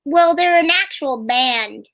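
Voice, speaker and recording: A woman's voice: high voice, expressive, slow, fairly bright tone, heavily acted. A woman voicing a bubbly, chatty young puppet girl in a sunny, sing-song manner during an interview. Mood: cheerful.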